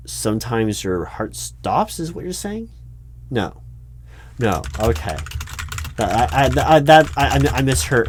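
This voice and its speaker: A man acts out a corporate recruiting manager in an audio roleplay, speaking at a middling pitch. He is courteous and formal but awkward, often stammering as he feels his way through the interview. Keyboard typing and light background noise sit behind the voice. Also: darkish tone. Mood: awkward, polite. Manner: hesitant, stammering, politely formal. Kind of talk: one-sided audio roleplay, loud spoken ASMR. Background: computer keyboard typing.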